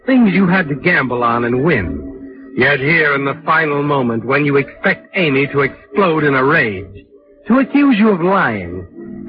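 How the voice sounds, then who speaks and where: A knowing, sardonic narrator of 1940s radio suspense. His dramatic delivery moves widely in pitch. Music plays clearly behind him on a dull old recording. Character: sardonic omniscient male narrator needling the schemer.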